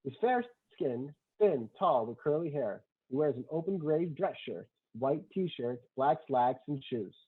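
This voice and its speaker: A man's voice: a little high, expressive, unhurried, breathy edge. Phone-like man reading stage directions. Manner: matter-of-fact, clipped short sentences. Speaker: stage directions reader at a table read. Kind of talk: screenplay narration, read aloud. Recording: thin, phone-like online call audio.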